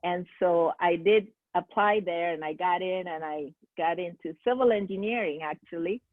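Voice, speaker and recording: A woman from Peru hosts a small online seminar, her voice sitting low and coming through a thin, phone-like video-call line. She talks haltingly, restarting phrases and filling pauses with "uh" and "um". The sound is dry, with no room around it. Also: no echo. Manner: halting, many fillers and restarts. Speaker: seminar host. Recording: tinny phone-like call audio.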